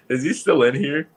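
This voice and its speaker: A man in his twenties, a podcast guest chatting in a relaxed way, laughing easily and saying "like" often. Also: a little high. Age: 20s. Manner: laid-back, laughs often, says "like" a lot. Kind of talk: casual livestream chat.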